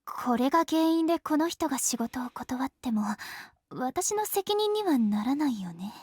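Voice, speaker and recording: High, lively skeptical office woman. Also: quick pace, no room sound, studio quality. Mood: wry, doubtful. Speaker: voice actor in a visual novel. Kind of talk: Japanese visual novel acting. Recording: music behind the voice.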